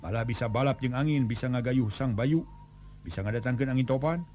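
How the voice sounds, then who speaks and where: A man voicing an arrogant, hot-tempered young man who runs faster than the wind, scolding in a high, strained voice. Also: darkish tone, natural voice. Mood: boastful.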